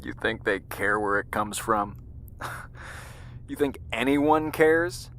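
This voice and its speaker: An actor playing an embittered, world-weary scientist in an audio drama, intense and confiding in manner. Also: male voice, lowish voice, expressive, slow pace, fairly bright tone, a little hiss or hum, heavily acted. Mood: impassioned, indignant. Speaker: actor in fiction podcast. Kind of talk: audio drama dialogue and narration.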